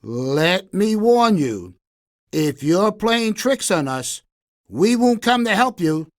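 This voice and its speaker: A man's voice: high-pitched, sing-song, slow, exaggerated voice. A man voicing stern, suspicious villagers in a children's storybook, speaking in an emphatic, admonishing way. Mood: stern warning. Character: stern, suspicious townspeople warning a boy.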